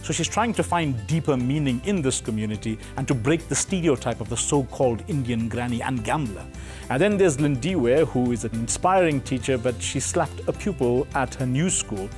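A man's voice: medium pitch, animated, normal speed, a little mellow. South african guy, middle aged, explaining his theatre work, articulate, music behind him. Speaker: playwright and theatre director. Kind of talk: interview answers and explaining. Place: theatre auditorium and stage. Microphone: lapel mic.

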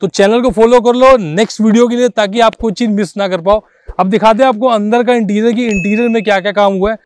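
A man's voice: high voice, steady tone, fast, a little hiss or hum. A man, giving an informal, conversational product walkaround as a car accessory store presenter, explaining things directly to viewers. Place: outdoors on a dirt lot beside trees.

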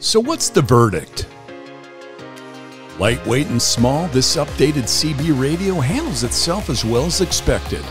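A man's voice: mid-range voice, sing-song, measured pace, music in the background. A man hosting a product review channel, reading a scripted review as a voice-over.

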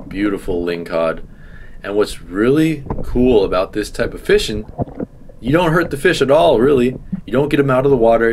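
A man's voice: mid-range voice, noisy background. A man in his thirties narrating his own fishing video with enthusiasm, explaining as he goes. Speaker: fishing vlogger. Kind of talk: casual voice-over narration.

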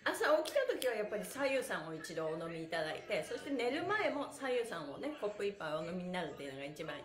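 A middle-aged woman instructing a stretching session in a cheerful, animated, very polite manner, switching between rhythmic prompts and chatty asides. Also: on the low side, fast talker. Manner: animated, cheerful, very polite honorific wording, frequent chuckles. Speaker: stretching instructor and livestream host. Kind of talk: guided exercise instruction with counted chants and chatty asides. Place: small plain indoor room, sitting on a mat. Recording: roomy echo, faint music.

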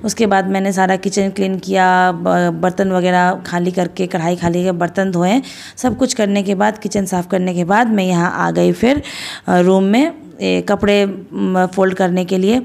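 A woman in her thirties from India, narrating her home chores in a chatty, homely voice-over, still hoarse after a cold. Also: lowish voice, steady tone, moderate pace, darkish tone. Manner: chatty, homely, rambling with fillers. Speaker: vlogger.

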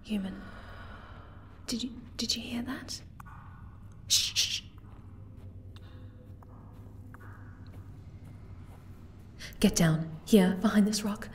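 A woman voicing a gentle, timid, caring young moth girl in an ASMR roleplay, soft-spoken and hesitant. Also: medium pitch, measured pace, a little hiss or hum, close to an everyday voice. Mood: hushed, fearful.

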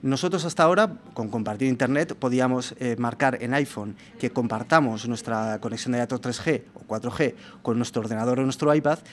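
A man's voice: mid-range voice, fairly quick. A young man explains news to camera in the manner of a tech presenter. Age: young adult. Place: meeting room with large window, indoors. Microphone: microphone on a desk arm. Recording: clear background room noise.

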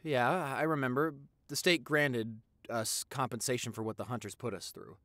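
A young man playing an affectionate, excitable vampire boyfriend in an intimate audio roleplay, gushing and stammering. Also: medium pitch, moderate pace, no room sound, lightly acted. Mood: curious, hesitant. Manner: gushing, stammering, repeats himself.

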